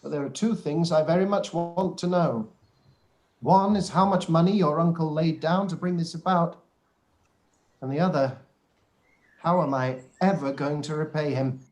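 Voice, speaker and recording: A man playing a weary, wry middle-aged gentleman father in a period radio play, measured and dry. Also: on the high side, close to an everyday voice. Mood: troubled, resigned.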